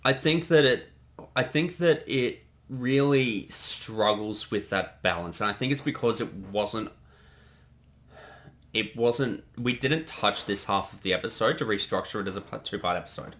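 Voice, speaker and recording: A man's voice: on the low side, measured pace, fairly bright tone. A man giving a casual creator's commentary on a production he directed, chatting conversationally.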